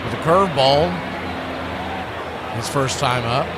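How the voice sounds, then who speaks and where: A man's voice: mid-range voice, slow. A man doing live radio play-by-play of a baseball game as the announcer. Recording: loud background noise behind the voice.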